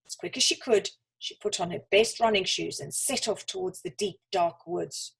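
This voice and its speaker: A woman's voice: on the low side, normal speed, creaky, breathy edge, low-quality recording. A woman reading a children's story aloud as a storyteller. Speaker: school storyteller.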